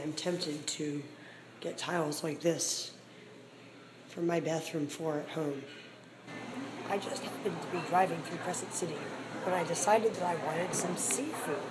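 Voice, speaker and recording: A woman with a hoarse voice talks through her meal for her dining vlog, candid about what she likes and what she won't eat. Her voice sits slightly low. She was recorded inside a seafood restaurant, and loud background noise runs under her words. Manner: chatty, frank about the food. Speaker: food vlogger. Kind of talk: casual restaurant review vlog.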